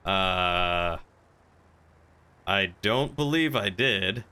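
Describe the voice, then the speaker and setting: Male game streamer, somewhat low and very clean-toned; casual, polite, slightly hesitant improvised delivery. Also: lively intonation, drawn-out words, bright, forceful tone, smooth.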